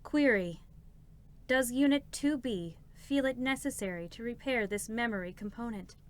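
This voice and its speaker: Woman as high-voiced, deadpan support robot. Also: moderate pace, lightly acted. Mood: impassive. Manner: clipped, procedural, deadpan. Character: formal, unfeeling female support robot. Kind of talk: dramatic reading of game characters. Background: music.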